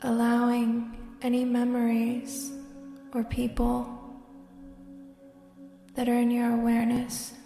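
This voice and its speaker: A woman leading a guided meditation, speaking slowly and soothingly, sometimes dropping to a whisper. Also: a little high, completely flat delivery, vocal fry. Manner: slow, soft and soothing, drifting into whispers. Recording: roomy echo with long reverb and soft music.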